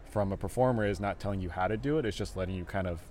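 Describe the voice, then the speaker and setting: Fast-talking deep-voiced man, flat delivery. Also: slight background noise. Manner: relaxed, rambling with many fillers. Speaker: film director and writer. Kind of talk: casual interview answers. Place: live at a film festival venue.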